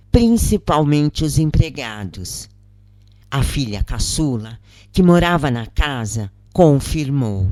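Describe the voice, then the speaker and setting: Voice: medium pitch, warm tone, no room sound. A narrator with a Brazilian accent reading a first-person story in a dramatic scripted style.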